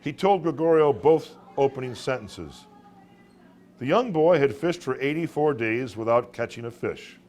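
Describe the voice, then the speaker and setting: A man reading his own short story to a live room as a dramatic storyteller, with deliberate pauses and occasional stumbles. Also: mid-range voice, lively intonation, a little mellow, faint music behind. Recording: live room recording with background noise.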